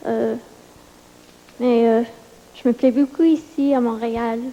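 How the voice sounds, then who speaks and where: A woman doing stand-up comedy in a second language, whose first language is English, pausing often with fillers, on a theater stage before a live audience. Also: a little high, fairly even intonation, dull audio, a little hiss or hum. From United States. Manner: hesitant, with many pauses and fillers.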